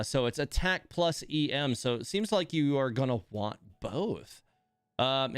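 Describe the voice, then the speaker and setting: A man's voice: a little high, clean tone, no echo, clean studio sound. A man hosting a casual gaming livestream, thinking aloud as he reads and comments on game details. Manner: thinking aloud, reading game text with asides. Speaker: livestream host.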